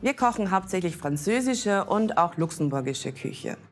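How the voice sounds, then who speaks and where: A middle-aged woman with a slightly low voice explains her restaurant with evident pride. She speaks into a lapel mic. Faint music sits behind her. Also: animated, moderate pace. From Austria. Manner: proud, eager to explain. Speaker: restaurant owner.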